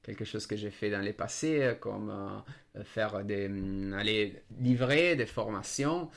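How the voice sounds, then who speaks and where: A man's voice: fairly low pitch, measured pace, vocal fry. A man with an Italian accent, a native Italian speaker, talking hesitantly and searching for words in an unscripted discussion. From Italy.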